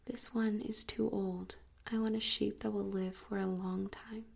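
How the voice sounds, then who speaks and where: Woman whispering a solemn little boy, soft and insistent, breathy, gravelly, storybook. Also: mid-range voice, level tone, a little slow, heavily acted.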